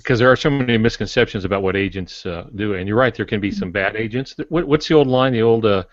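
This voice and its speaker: A man's voice: lowish voice, fast talker, no room sound. An older man co-hosting a podcast, giving casual, explanatory advice. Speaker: podcast co-host, writer and teacher. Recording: dull, dry lo-fi audio.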